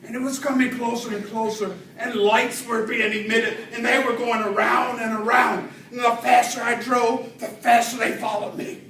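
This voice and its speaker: A man with a very high, bright voice performs a comic tall tale as a speech. He draws words out theatrically, with dramatic pauses and swells. The recording is very roomy and picked up from a distance. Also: flat delivery. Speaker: speech contest storyteller at a Toastmasters club. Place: indoor room with an audience. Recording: roomy, distant mic, faint noise.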